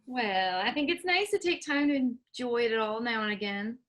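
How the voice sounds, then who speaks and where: A woman reading a patient, gently teasing grown daughter in a staged play reading. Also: a little high, normal speed, lightly acted. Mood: gentle. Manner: soft, easygoing, gently teasing.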